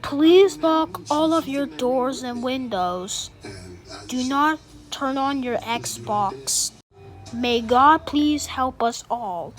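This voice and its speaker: A woman with a high voice reads a scripted emergency alert. She speaks slowly and formally, in the solemn manner of a broadcast warning, with music playing behind her. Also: expressive.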